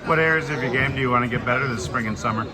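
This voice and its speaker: A man interviewing a basketball recruit, asking brisk, direct questions. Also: mid-range voice, over a noisy crowd.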